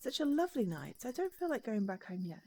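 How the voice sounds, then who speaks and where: A woman's voice: fairly high pitch, very animated, quick pace, warm tone. A woman voicing an earnest, determined young woman in a storybook game, read as dramatic dialogue. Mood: wistful.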